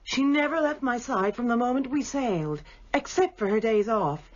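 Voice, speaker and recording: An actress playing a haughty, gracious widowed baroness in a radio drama, with formal, emphatic, theatrical speech and a husky voice. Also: female voice, a little high, expressive, normal speed. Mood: composed, explaining. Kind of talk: British radio drama acting. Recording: muffled radio broadcast.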